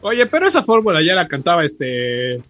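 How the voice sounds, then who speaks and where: A man's voice: fairly high pitch, big pitch swings, moderate pace, fairly bright tone, dry recording, soft background music. A man with a Mexican accent co-hosting a podcast, bantering and asking questions in a joking, irreverent, sweary way. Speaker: podcast co-host.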